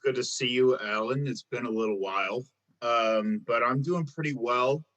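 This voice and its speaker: A man who is a music director and co-host, chatting casually in a halting way, with many ums. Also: mid-range voice, bright, forceful tone, dull audio. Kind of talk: casual chat.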